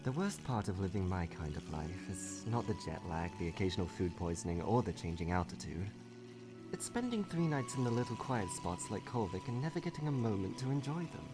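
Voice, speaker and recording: A man's voice: lowish voice, sing-song, a little fast, music in the background. A man voicing a wry, world-weary woman adventurer in a comic dub, with a dry, sardonic delivery. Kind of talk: comic dub acting with reflective monologues.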